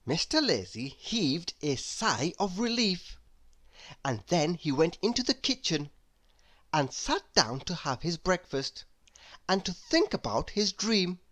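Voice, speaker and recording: A woman narrating a children's storybook aloud in an expressive, dramatic and deliberate way. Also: fairly low pitch.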